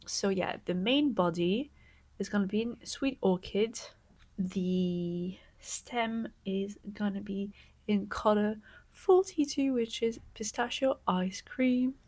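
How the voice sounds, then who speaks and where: A young woman knitting vlogger, congested from a cold, chatting excitedly to camera and sometimes slipping into a soft whisper. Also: mid-range voice, gravelly. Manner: gushing and excitable, often dropping to a soft whisper. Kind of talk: casual vlog chat to camera.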